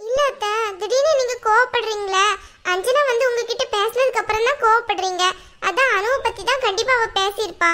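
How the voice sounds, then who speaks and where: A woman's voice: very high-pitched, brisk, bright, forceful tone. A woman playing a meek young daughter-in-law who pleads with her mother-in-law. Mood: pleading. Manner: pleading, respectful.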